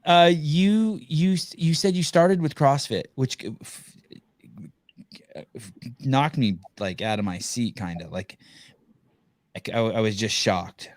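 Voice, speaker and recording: A man's voice: mid-range voice, takes it slowly, pauses often, no room sound. A man hosting a podcast interview in casual chat, rambling with many restarts and casual swearing. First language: Armenian. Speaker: podcast host.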